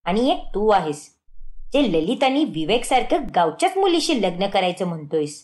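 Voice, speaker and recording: A woman voices a proud, middle-aged mother-in-law from a cartoon moral tale. She is bossy and emphatic, and her pitch moves freely as she lays down her opinions. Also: big pitch swings, normal speed, darkish tone, lightly acted. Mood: scolding, indignant. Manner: emphatic, scolding and praising in turns. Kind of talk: animated moral-story cartoon dialogue.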